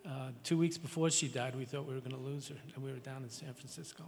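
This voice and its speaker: Man, raspy voice, halting tearful eulogy, medium pitch, long hall reverb. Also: fairly even intonation, a little hiss or hum. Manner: halting, tearful, repeats words. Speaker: speaker at a memorial service. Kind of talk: emotional memorial address with scripture reading.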